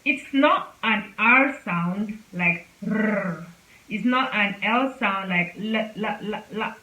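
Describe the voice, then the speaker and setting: A woman's voice: medium pitch, takes it slowly, pushed and bright, roomy sound, low-quality recording. A woman hosting her own channel, giving a casual lesson on pronunciation and repeating sounds for practice. Korean is not her first language. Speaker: language teacher, channel host.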